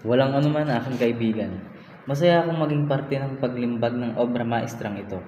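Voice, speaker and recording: A man's voice: mid-range voice, fairly quick, a little mellow, dull audio, natural voice. A man with a Filipino accent playing a loyal, generous and supportive friend in a radio drama. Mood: warm, supportive. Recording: echoey hall recording with background noise.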